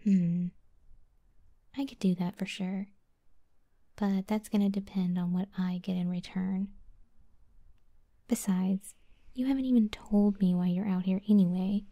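A woman voicing a brash but begrudgingly kind tsundere scorpion girl in a soft, whispered ASMR roleplay, teasing and slangy, close to the microphone. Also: mid-range voice, steady tone, measured pace, pauses often, no room sound, lightly acted. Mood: teasing, demanding. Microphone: close, dry ASMR microphone.

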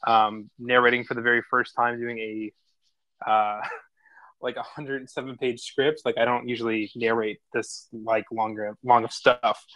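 A man's voice: medium pitch, little pitch movement, normal speed, fairly bright tone, dry recording, poor audio. A man chatting casually and enthusiastically in a rambling, informal way as host of an online stream. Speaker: host and narrator of online script table reads. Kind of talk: casual feedback chat.

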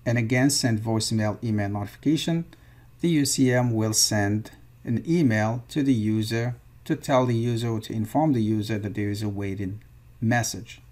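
A man's voice: lowish voice, moderate pace, darkish tone. A man presenting an instructional screencast, explaining things step by step. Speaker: software tutorial presenter.

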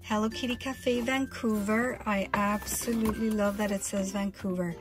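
A woman's voice: mid-range voice, steady tone, normal speed, music in the background. A woman chatting casually in a vlog, showing her purchases and gushing, often restarting her sentences.

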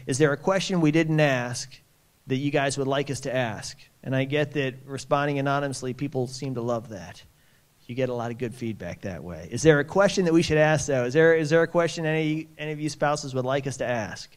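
A man's voice: medium pitch, brisk. A man hosting a base town hall, reading out audience questions and answering them in a plainspoken, reassuring way. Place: conference center.

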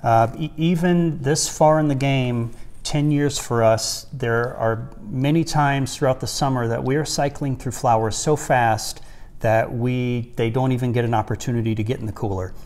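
A man in his forties, a farmer and co-host, giving measured, plain-spoken advice in a casual chat. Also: mid-range voice, steady tone, darkish tone. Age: 40s. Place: small wood-panelled room indoors by a stove. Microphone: lapel mic.

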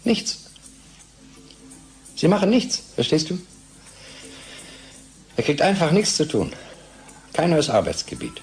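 An actor playing a gloomy, disillusioned husband in a German radio play, speaking in an emphatic, brooding way. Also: male voice, fairly high pitch, wildly swooping pitch, takes it slowly, gravelly, pauses often, a little mellow, soft background music, heavily acted. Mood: agitated, bitter. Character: disillusioned middle-class husband, agitated and gloomy. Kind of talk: radio drama acting.

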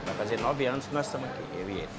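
A man's voice: medium pitch, rapid-fire, noisy background. A man in his fifties with a northeastern Brazilian accent, plainly answering questions as a snack bar owner. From Ceará, northeastern Brazil. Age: 50s. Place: small snack bar, indoors.

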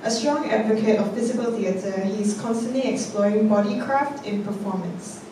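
A woman emcee reading out panel speaker bios on a theatre stage, measured and steady from her notes with the odd um. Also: medium pitch. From Singapore. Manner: measured, reads from notes with occasional ums. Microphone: handheld mic, heard from a distance. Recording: echoey hall, voice from far off, noisy.

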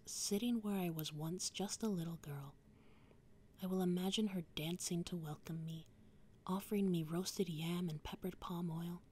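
A young woman whispering softly through a slow ASMR poetry reading, drifting close to and away from the microphone. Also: fairly low pitch, a little hiss or hum. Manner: soft unvoiced whispering, varied mic distance.

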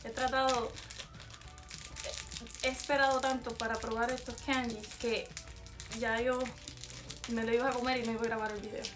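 A young woman speaks in a chatty Cuban Spanish accent and sits slightly high in her range. She talks casually to a camera at desk distance in a small bedroom and drops English words in here and there. Soft music plays faintly underneath. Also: little pitch movement, normal speed, pauses often. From Cuba. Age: young adult. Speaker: vlogger. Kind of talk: casual vlog, taste test.

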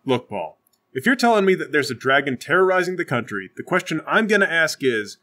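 A man banters as a player in a comedic tabletop game. His voice is bright and forceful, slightly high, and he likes to question and argue. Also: sing-song, a little fast.

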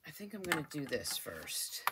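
A woman's voice: deep voice, wildly swooping pitch, moderate pace, heavy background noise. An older woman hosting a crafting channel, chatting and thinking aloud as she works at her craft desk. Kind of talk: casual crafting tutorial voice-over.

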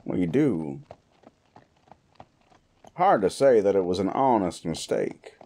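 A man playing a gruff, world-weary cowboy bounty hunter in a Western audio roleplay, with a folksy, threatening yet wry manner. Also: low voice, very animated, slow pace, warm tone, exaggerated voice. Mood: resigned, wry. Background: guitar music.

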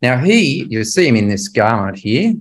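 A man's voice: medium pitch, very animated, normal speed. An older man giving a Bible class history lecture in a conversational, emphatic way, often putting rhetorical questions to his listeners.

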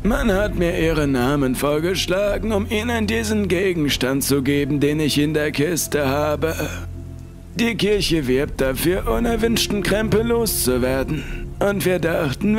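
Old blind priest, a man voices him: gentle, slow, thin raised voice over soft music. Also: gravelly. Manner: slow, soft, high and strained. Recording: faint music underneath.

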